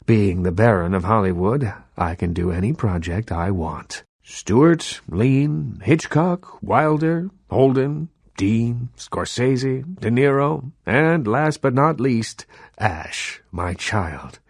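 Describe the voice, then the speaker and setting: An actor playing an imperious old vampire baron and Hollywood mogul in a video game, speaking in a measured, eloquent, condescending way. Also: male voice, mid-range voice, expressive, vocal fry, breathy edge, no room sound, studio quality, full character voice. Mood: proud, boastful. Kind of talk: video game character dialogue.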